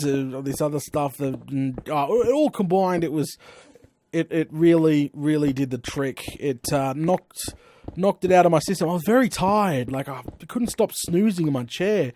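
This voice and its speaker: An Australian man chatting casually during a live stream of his game, rambling through stories with lively slang exclamations. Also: fairly high pitch, dry recording. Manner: chatty, animated storytelling with frequent slang exclamations. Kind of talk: rambling casual chat while playing.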